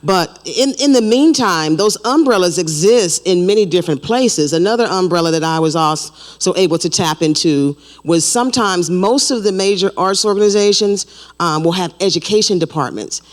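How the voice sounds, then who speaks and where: A man's voice: high-pitched, animated, normal speed. Male panelist in a reverberant hall. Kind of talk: panel discussion answer.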